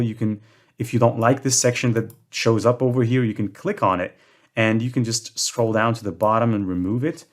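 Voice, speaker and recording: A young man from Morocco hosting a screen-recorded tutorial, talking in a casual way with self-deprecating jokes. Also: medium pitch. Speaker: tutorial host.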